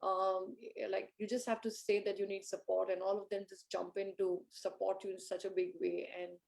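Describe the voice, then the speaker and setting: A woman's voice: mid-range voice, little pitch movement, moderate pace, vocal fry, darkish tone. A woman hosting an interview, speaking admiringly and hesitantly, with many fillers. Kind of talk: conversational interview.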